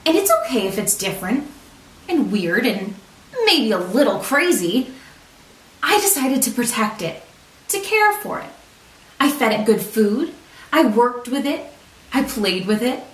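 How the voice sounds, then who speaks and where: A woman reading a picture book aloud in an expressive, deliberate storytelling manner for children. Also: a little high. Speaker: storybook reader, teacher. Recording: roomy echo, distant microphone.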